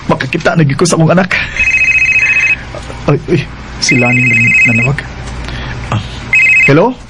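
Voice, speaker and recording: Actor playing a higher-voiced, evasive Cebuano loverboy. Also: male voice, a little slow, long pauses, very noisy, close to an everyday voice. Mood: musing. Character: young man Edwin, smooth-talking, evasive two-timing lover. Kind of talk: Cebuano radio drama acting.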